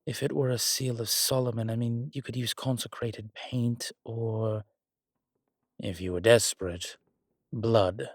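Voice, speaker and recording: A man playing a strong, scholarly demon in a horror roleplay audio, explaining things in a deliberate, halting, pedantic way. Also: mid-range voice, steady tone, no room sound, studio quality. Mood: pondering, baffled. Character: strong, scholarly male demon, exasperated occult lecturer. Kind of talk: immersive one-sided roleplay audio drama.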